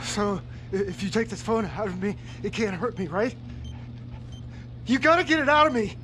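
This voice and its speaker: Very high, panicked captive judge. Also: male voice, normal speed, pushed and bright, a little hiss or hum. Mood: anxious pleading. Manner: panicked, pleading. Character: terrified judge held captive, frantic and pleading. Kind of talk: cinematic crime thriller game acting.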